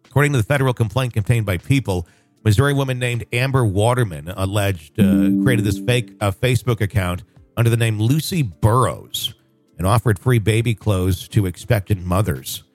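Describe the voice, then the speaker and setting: Male podcast host, rambling casual talker, low pitch, faint background music. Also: normal speed, a little mellow. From northwest Arkansas, USA. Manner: rambling, frequent fillers and restarts.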